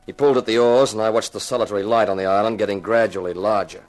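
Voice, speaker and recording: An actor playing a dry, wisecracking insurance investigator who narrates a 1950s radio detective drama. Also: male voice, lowish voice, steady tone, fast, close to an everyday voice. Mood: moody narration. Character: wry, hard-boiled male insurance investigator and narrator. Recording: slightly noisy 1950s radio recording.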